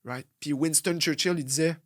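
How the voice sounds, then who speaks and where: A young man with a Québécois French accent, hosting a blunt, profane motivational podcast that swings from loud outbursts to low muttering. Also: moderate pace, no echo, studio quality. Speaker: fitness coach and podcast host.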